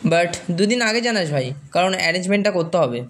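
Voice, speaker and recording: A man's voice: sits high, animated, fairly quick, a bit bright, a little hiss or hum. A man playing a chummy, persuasive young school friend, colloquial and coaxing, in a dramatized story. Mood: urging.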